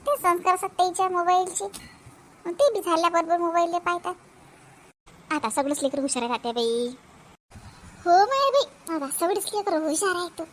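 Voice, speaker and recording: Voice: very high voice, animated, normal speed, pauses often, fairly bright tone, a little hiss or hum. A performer voicing a chatty village grandmother in a comedy cartoon, with exclamatory rustic Vidarbha Marathi chatter. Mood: gossipy, amused.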